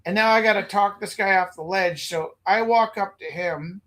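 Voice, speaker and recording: A man from Alberta, a gallery owner and teacher, rambling through animated anecdotes in casual chat. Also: high voice, normal speed. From Alberta, Canada.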